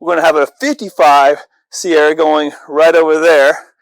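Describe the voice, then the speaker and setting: American male installer, casual and enthusiastic vlog walkthrough, slightly higher pitch, very little room echo outdoors. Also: steady tone, normal speed. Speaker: audio-video installer presenting a project. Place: outdoors in a residential backyard.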